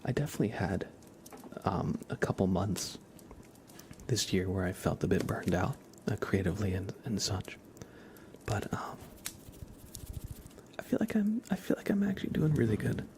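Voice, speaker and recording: A man chatting softly as an ASMR livestream host, in a gentle hushed voice that often drops into whispers. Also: fairly low pitch, animated, moderate pace, long pauses. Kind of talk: soft-spoken ASMR livestream chat.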